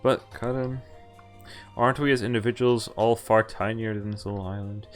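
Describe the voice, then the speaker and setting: A man's voice: on the low side, fairly even intonation, normal speed. A man giving casual playthrough commentary on a game, reading its text aloud with wry, offhand asides. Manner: wry offhand asides between read lines. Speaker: game streamer. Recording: game music behind the voice. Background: visual novel music.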